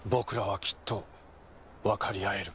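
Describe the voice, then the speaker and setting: An actor playing an earnest, lovestruck suitor in a melodramatic comic commercial. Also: male voice, fairly low pitch, fairly even intonation, fast, over loud music, lightly acted. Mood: earnest, romantic. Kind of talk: melodramatic Japanese commercial acting.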